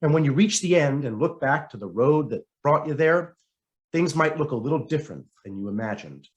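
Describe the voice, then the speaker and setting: A man reading a written essay aloud as scripted narration, in a measured, dramatic way with deliberate pauses. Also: a little high, lively intonation, highs cut off. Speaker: reader of a written article.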